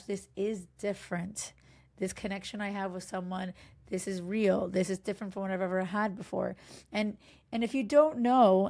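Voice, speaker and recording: A woman in her thirties, hoarse from a cold, giving frank, chatty relationship advice as a coach, with plenty of fillers and restarts. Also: mid-range voice, a little mellow. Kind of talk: casual direct-to-camera advice talk.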